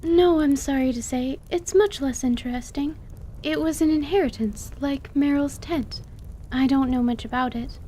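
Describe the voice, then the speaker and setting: Bookish lady wizard, acted by a woman: guarded, precise, formal, mellow tone, slightly high, fantasy audio drama. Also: normal speed, slight background noise, natural voice, low-quality recording. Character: bookish, guarded female wizard who over-explains magic.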